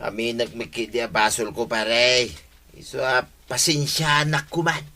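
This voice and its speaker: A man's voice: medium pitch, level tone, normal speed, bright, forceful tone. An Ilocano man playing a hapless, apologetic villager in a radio comedy drama, pleading and making excuses in a whiny manner.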